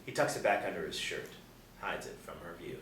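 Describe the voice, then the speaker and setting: A man's voice: low-pitched, fairly quick. A man reading stage directions as scripted narration at a table reading. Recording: roomy, distant mic, faint noise.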